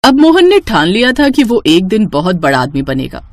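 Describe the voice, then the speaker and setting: Lively female storyteller with wide pitch swings. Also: normal speed, dry recording, studio quality. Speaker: narrator. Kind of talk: scripted moral story narration.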